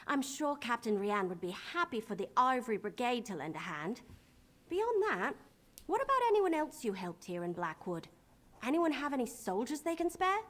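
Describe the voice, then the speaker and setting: A plucky young elf heroine in a fantasy game. Her voice sits high and swoops with lively intonation, informal and wry. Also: moderate pace. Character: spunky, plucky young female elf adventurer. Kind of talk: video game character acting.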